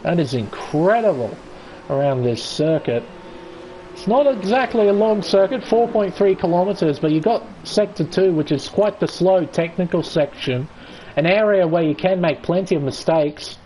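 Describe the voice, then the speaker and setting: A man's voice: high-pitched, lively intonation, moderate pace, warm tone, a little hiss or hum, low-quality recording. An Australian man giving chatty, enthusiastic live play-by-play commentary on an online racing league qualifying session. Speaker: esports race commentator.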